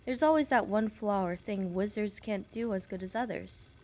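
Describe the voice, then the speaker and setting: A woman's voice: mid-range voice, moderate pace, darkish tone, natural voice. A woman voicing a shy, kind young witch who works as an assistant nurse, chatty and apologetic, in an audio roleplay for one patient. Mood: calm, musing. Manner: rambling, apologetic, nervously giggly.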